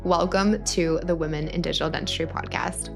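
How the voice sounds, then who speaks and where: A woman's voice: sits low, steady tone, a little fast, gravelly, over music. A woman hosting a podcast, reading a scripted welcome and then interviewing her guest with gushing enthusiasm. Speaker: podcast host.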